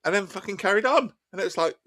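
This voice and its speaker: A man's voice: sits high, animated, fast talker. A man with an Essex accent chatting casually and rambling while he streams a game, stuffy and congested from a cold, with a casual habit of swearing. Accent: Essex English. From Essex, England. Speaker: livestreamer. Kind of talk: casual chat while gaming. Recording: loud game vehicle noise behind.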